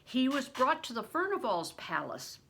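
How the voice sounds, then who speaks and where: A woman's voice: high-pitched, very animated, moderate pace, gravelly, bright, forceful tone, slightly rough, voice pushed up, exaggerated voice. A grandmother voicing an anxious, earnest boy. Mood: urgent.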